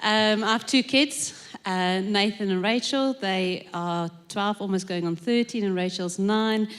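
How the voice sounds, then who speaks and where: A thoughtful woman minister speaking on a church panel. She pauses with lots of ums, and her voice sits at a middle pitch. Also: clean tone. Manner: thoughtful, hesitant with many ums. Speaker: executive minister. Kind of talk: panel conversation. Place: church stage at a live service.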